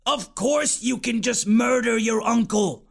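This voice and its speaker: A man's voice: very high voice, measured pace, creaky, fairly bright tone, voice pushed up, heavily acted. A man voicing an impatient little male demon who growls and blusters in a storybook reading.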